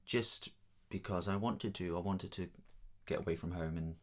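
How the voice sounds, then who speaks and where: Brief: young Danish man, deep voice, relaxed chatty interviewee with frequent ums. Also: normal speed. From Denmark. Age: young adult. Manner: easygoing, many ums, chatty. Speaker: drama student guest. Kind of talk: casual chat.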